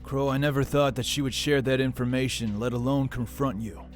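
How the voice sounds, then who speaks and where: An actor playing an earnest, apologetic military general with formal wording, in a dramatic comic dub. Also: male voice, medium pitch, fairly even intonation, brisk, soft background music, close to an everyday voice. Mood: regretful.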